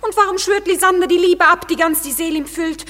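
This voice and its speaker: A plaintive young woman in an old radio play. Her voice is high, and it rings in a reverberant hall. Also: brisk, bright, forceful tone. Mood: wounded. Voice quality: plaintive, wounded. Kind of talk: classic radio play acting.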